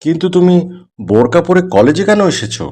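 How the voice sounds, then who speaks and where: A man's voice: on the high side, very animated, a little fast, a little mellow. A man voicing a disapproving, condescending college teacher in a moral-tale cartoon, lecturing his students.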